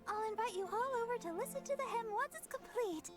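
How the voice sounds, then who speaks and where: Very high-pitched, flustered young deaconess. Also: female voice, expressive, quick pace. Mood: flustered, polite. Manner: hesitant, stammering. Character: shy, flustered, sweet young deaconess, girlish. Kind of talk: video game voice acting. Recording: game music behind voice.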